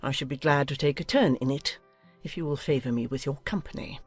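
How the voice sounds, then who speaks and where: A woman's voice: rumbling low, fairly quick, soft background music. A woman playing a haughty, imperious, ill-tempered older noblewoman in an audiobook drama, speaking in a stiff, formal, commanding way. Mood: stiff, disdainful.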